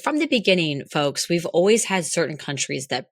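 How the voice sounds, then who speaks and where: A young woman co-hosting a podcast in casual chat, hoarse as her voice comes back, rambling and laughing often. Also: deep voice, very animated, moderate pace, dry recording, studio quality. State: hoarse, recovering lost voice. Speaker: podcast co-host.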